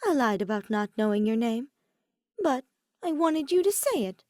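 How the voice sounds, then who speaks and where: A woman's voice: fairly high pitch, animated, normal speed, a little mellow, dry recording, clean studio sound, pitched above the performer's own voice, lightly acted. A woman voicing a mischievous, lovestruck teenage boy in a theatrical, teasing way for a fan fiction reading. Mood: playful confession.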